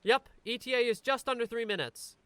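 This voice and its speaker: A man's voice: very high-pitched, brisk, a bit bright, clean tone, dry recording. A man playing a helpful police dispatcher in an audio drama, reassuring and procedural. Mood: brisk, familiar. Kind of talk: naturalistic audio drama acting.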